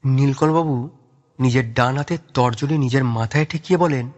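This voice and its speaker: A man's voice: mid-range voice, normal speed, darkish tone, muffled recording. A man narrating a scripted audio story in a dramatic storytelling style.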